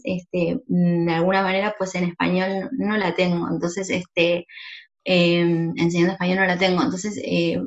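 Woman, low gravelly voice, hesitant, flat delivery. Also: lo-fi sound. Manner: hesitant, many fillers. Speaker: French teacher. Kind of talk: casual group discussion.

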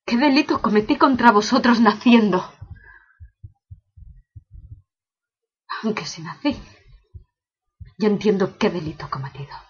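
Mujer interpretando a un príncipe cautivo angustiado, voz ronca. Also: female voice, medium pitch, normal speed, husky, muffled recording, close to an everyday voice. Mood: anguished lament. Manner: declamatory, impassioned, with rhetorical questions. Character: anguished imprisoned prince Segismundo, bitterly questioning heaven. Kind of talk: classical theatre verse monologue recitation.

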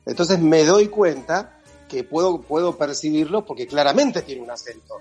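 Argentine guy, raspy-ish voice, a bit high, easygoing radio guest. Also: lively intonation, moderate pace, a little mellow, soft background music. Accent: Argentine Spanish. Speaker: psychologist guest. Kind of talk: radio interview, phoned in.

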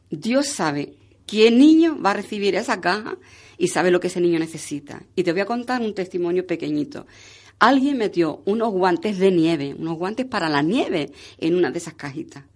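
A woman's voice: fairly low pitch, darkish tone. A woman talking as a charity guest, explaining and telling anecdotes conversationally, often checking for agreement. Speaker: charity coordinator or volunteer guest.

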